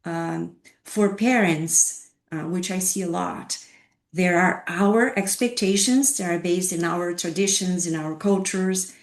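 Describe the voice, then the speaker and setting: A woman's voice: on the low side, slow. Reflective woman counselor in an echoey room. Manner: reflective, rhetorical questions, frequent "right?". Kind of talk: panel discussion. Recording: roomy echoey sound.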